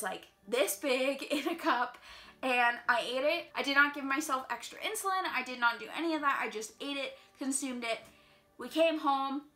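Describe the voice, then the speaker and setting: A young woman telling a personal story in a casual vlog, chatty and quick with lots of filler words, sounding a little congested. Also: high voice, bright, forceful tone. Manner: chatty, fast-flowing, heavy use of "like". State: slightly congested. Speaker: vlogger. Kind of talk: casual storytime while doing makeup.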